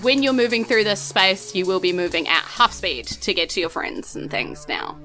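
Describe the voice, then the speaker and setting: A woman's voice: medium pitch, big pitch swings, normal speed, sharp tone, faint music behind. A woman with a New Zealand accent running a tabletop game, narrating informally and chatting in a giggly way. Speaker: game master.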